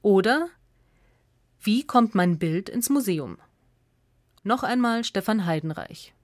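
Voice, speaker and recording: Female essay narrator for radio, medium pitch, close dry sound. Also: animated, takes it slowly, no echo.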